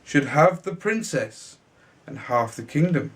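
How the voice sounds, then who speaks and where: Slow-reading man, fairly high voice. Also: animated. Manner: halting, with stumbles and repeated words. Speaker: storybook reader. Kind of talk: reading a children's fairy tale aloud.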